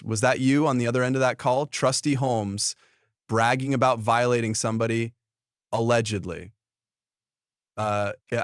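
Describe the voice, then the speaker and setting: A man's voice: fairly low pitch, no room sound, clean studio sound. A man hosting online gossip commentary in a blunt, mocking, taunting way.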